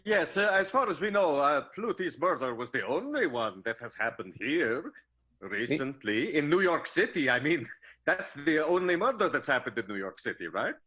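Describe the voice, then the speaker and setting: A man's voice: fairly high pitch, very animated, normal speed, sharp tone, breathy edge, heavily acted, rough recording. A man playing an anxious, evasive, over-insistent figure in an improvised comic radio play. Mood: nervous, insistent. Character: anxious man hastily minimising murders, evasive and jittery.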